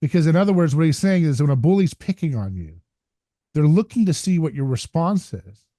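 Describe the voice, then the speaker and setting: Host: man with a dark, warm voice, close and dry on the mic, earnest and emphatic delivery. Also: on the high side, animated, fairly quick, no room sound. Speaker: podcast host. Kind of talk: casual conversation.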